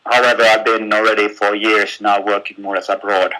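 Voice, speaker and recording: A man from Finland with a Finnish accent, giving a live webinar in a halting way, with many fillers and repeated words. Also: fairly low pitch, little pitch movement, bright, forceful tone, poor audio. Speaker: webinar speaker.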